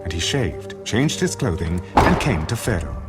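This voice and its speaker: A low male voice narrates scripture with a very lively pitch range. The telling is solemn and dramatic, with music clearly underneath. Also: moderate pace.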